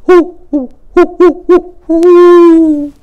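A great horned owl calls through a storybook wood. The hoots are high, hollow and loud. They come in repeated, evenly spaced calls. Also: female voice, little pitch movement, drawn-out words, highs cut off, heavily acted. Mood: calling out.

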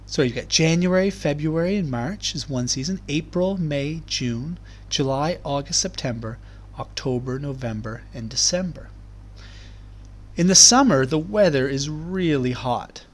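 A man reading aloud to young children as a teacher, explaining things in clear, simple terms. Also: on the high side, a little slow. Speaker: primary school teacher. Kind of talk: children's read-aloud lesson.

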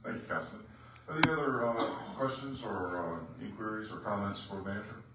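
A man's voice: fairly low pitch, expressive, a little fast, noisy background. A man presiding as mayor over a city council meeting, speaking in a genial, joking way. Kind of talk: formal meeting chair remarks. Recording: echoey chamber from far off.

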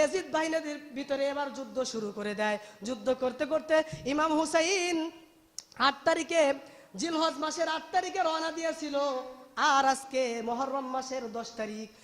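High-pitched impassioned preacher man in reverberant hall. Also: a bit bright. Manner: emotive, declamatory, repeats phrases for emphasis. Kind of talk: impassioned religious sermon, storytelling to a congregation.

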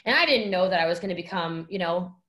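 A woman's voice: fairly low pitch, lively intonation, fast talker, a bit bright. A young woman telling a personal story in an emphatic, dramatic, slangy way, as a podcast guest on a video call from home. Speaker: podcast guest, network marketing entrepreneur.

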